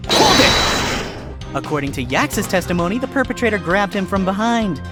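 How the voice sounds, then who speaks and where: A man's voice: high voice, sing-song, moderate pace. A man doing a sarcastic fox lawyer. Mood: smug. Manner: dry sarcastic quips. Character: smug sly male fox defense lawyer. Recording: music playing under voice.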